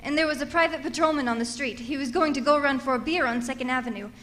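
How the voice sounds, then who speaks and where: An actress playing a nervous, demanding wealthy invalid wife in a radio thriller, flustered and stammering, with haughty insistence. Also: female voice, high voice, fast talker, a bit bright. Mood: agitated, anxious. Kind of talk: old-time radio thriller acting.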